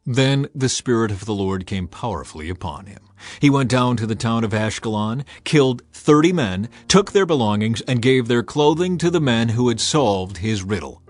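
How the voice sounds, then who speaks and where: A man narrating a dramatized scripture audiobook as an expressive storyteller. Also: mid-range voice, moderate pace. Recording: music behind the voice. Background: music.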